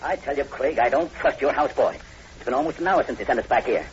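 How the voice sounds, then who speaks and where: Man as a fast-talking anxious radio-drama doctor. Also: medium pitch, natural voice. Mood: worried. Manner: excitable, worried. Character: anxious, skeptical public health doctor. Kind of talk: 1940s radio horror acting. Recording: muffled, noisy old radio broadcast.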